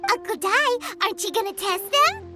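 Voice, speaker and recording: A woman's voice: extremely high pitch, big pitch swings, normal speed. A woman voicing a small, perky, blunt fairy companion in a video game, with a cheeky, nosy, childlike manner. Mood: bossy, cheeky. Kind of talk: animated video game voice acting. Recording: music behind the voice.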